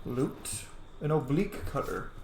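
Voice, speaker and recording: A man's voice: medium pitch, animated, a little slow, creaky, a little mellow. A man giving casual, amused and sweary commentary as he plays a roleplaying game, reacting aloud. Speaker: let's player, game roleplayer. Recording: roomy sound, faint background noise.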